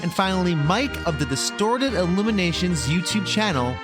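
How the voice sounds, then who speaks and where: A man's voice: sits high, lively intonation, normal speed, music in the background. A man around forty hosting a podcast, chatting casually with guests, laughing often and introducing the next segments. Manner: laughs often, enthusiastic reactions. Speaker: podcast host. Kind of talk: casual chat and show introductions.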